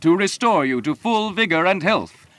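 A man playing a sympathetic, florid patent-medicine pitchman in a vintage radio advertising parody. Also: animated, moderate pace, fairly bright tone, dry recording. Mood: earnest, salesmanlike. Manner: florid, emphatic, salesmanlike.

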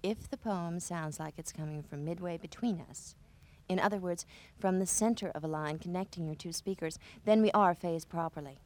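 A woman playing a sassy, needling loudspeaker. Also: fairly low pitch, natural voice. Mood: explaining. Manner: sarcastic, needling, quick to snap. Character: sassy nagging female loudspeaker. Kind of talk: comedy sketch on a test record.

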